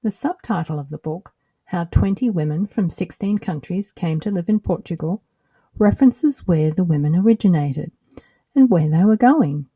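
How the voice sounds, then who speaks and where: A middle-aged woman with an Australian accent, hosting her own podcast in a measured, earnest scripted narration. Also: on the low side, animated, dark tone, slightly rough, no echo. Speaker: podcast host.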